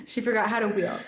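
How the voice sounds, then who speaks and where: A young woman co-hosting a casual podcast, chatty and slangy, quick to laugh. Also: mid-range voice, slight background noise. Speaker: podcast co-host. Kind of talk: casual chat. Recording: echoey room.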